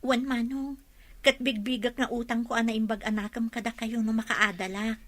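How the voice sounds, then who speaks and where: An actress playing a grateful, deferential young student in a radio drama, earnest and respectful. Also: female voice, on the high side, level tone, normal speed, fairly bright tone. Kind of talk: radio drama acting in Ilocano.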